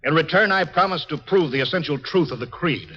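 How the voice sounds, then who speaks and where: An actor playing a stern, impatient scientist in charge, clipped and authoritative, in 1950s radio drama style. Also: male voice, a little high, brisk, a bit bright. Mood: indignant. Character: brisk, commanding male observatory scientist, stern and impatient. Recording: muffled, hissy old radio recording.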